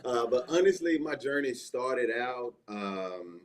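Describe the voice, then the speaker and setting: A man's voice: medium pitch, expressive, slow pace. A man with a Southern US accent, an assistant pastor telling his personal testimony as an interview guest in a folksy, conversational way with frequent check-ins. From Mississippi, United States.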